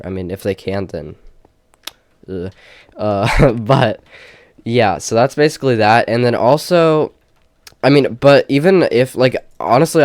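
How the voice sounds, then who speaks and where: A young man with a slightly low voice talks into a desk mic, his nose audibly stuffed up. He rambles casually through a tour of his game world, leaning on fillers and restarts. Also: a little mellow, no echo. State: stuffy, congested. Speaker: gaming channel host. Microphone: desk mic with face cam.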